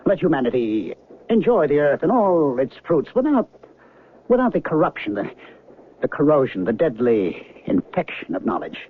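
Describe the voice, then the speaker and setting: An actor playing an earnest, disillusioned, restless man in 1970s radio drama, speaking theatrically and emphatically with halting pauses. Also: a little high, huge pitch swings, slightly rough, full character voice. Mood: grave, impassioned. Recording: thin phone-like old radio recording, noisy.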